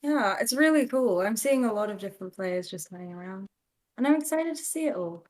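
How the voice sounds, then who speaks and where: Mid-pitched woman co-casting casually. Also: animated, brisk, a little mellow. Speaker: esports co-commentator.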